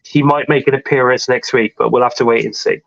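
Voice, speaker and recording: A man's voice: mid-range voice, steady tone, fast, gravelly, fairly bright tone, dull audio. A man hosting a casual wrestling podcast, congested with a cold and chatting in a rambling way with lots of fillers. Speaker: podcast host.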